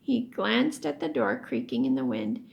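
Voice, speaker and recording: A woman reading a children's book aloud expressively, as a teacher would, pausing to explain words. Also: very deep voice, creaky. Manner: animated storytelling, pauses to explain words.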